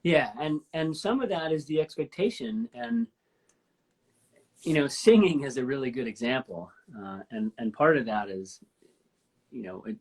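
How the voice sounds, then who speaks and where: Deep husky woman, enthusiastic talker. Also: normal speed, darkish tone. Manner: enthusiastic, rambling storyteller. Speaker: host. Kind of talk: casual live-stream chat. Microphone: live stream from home.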